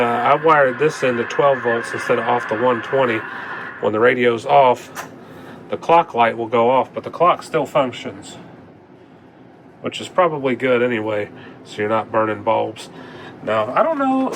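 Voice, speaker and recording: A man's voice: mid-range voice, normal speed. Casual folksy man, noisy recording. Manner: rambling, folksy, plain-spoken. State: coming down with a cold. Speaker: vlogger demonstrating a repaired radio. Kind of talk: casual hands-on demonstration talk. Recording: clear background noise.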